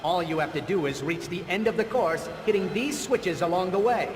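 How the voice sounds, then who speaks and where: A man's voice: sits high, moderate pace, noisy background, natural voice. An actor playing a boastful, theatrical showman villain with a grudge in a video game. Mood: grandiose, taunting. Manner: pompous, theatrical.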